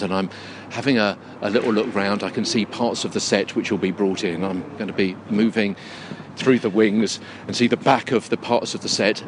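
A man hosting a podcast, interviewing and describing the scene in a chatty, informal way, recorded on location with some background noise. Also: lowish voice. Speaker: podcast host. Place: inside a big top tent in a park.

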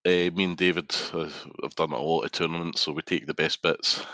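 Deep bright-toned Scottish man. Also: level tone, brisk, no room sound. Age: middle-aged. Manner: friendly, hesitant uhs. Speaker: podcast guest. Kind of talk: casual interview chat.

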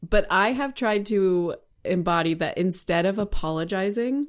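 A woman's voice: mid-range voice, moderate pace. A woman from the Midwest co-hosting a podcast, chatting playfully and laughing often. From American Midwest. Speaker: podcast co-host. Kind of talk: casual chat.

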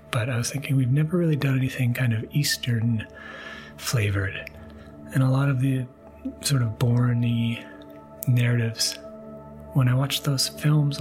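A man giving a gentle, unhurried, casual introduction as the host of a podcast. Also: medium pitch.

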